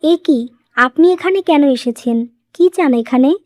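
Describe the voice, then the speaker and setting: A woman playing a composed, persuasive young daughter-in-law in a cartoon moral story, explaining things in a measured way. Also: high-pitched, darkish tone, dry recording, clean studio sound. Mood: composed, reproachful.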